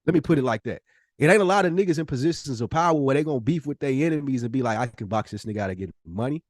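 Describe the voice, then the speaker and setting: A male podcast host with a mid-pitched voice, recorded close and dry. He argues his opinions in casual, slangy speech, leaning on emphatic rhetorical questions. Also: lively intonation, racing, no echo.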